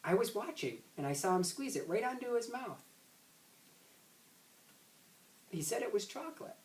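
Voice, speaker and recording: A man's voice: high-pitched, very animated, fast, voice pushed up. A man voicing a bossy, tattling young sister from a storybook, with a scolding, matter-of-fact manner. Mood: insistent. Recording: roomy echo, distant microphone.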